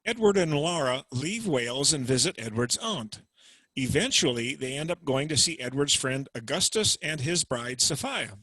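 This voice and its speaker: Husky, matter-of-fact male narrator. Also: medium pitch, expressive, normal speed, bright, forceful tone, dry recording, poor audio. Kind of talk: plot summary for a dramatic reading. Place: home over video call.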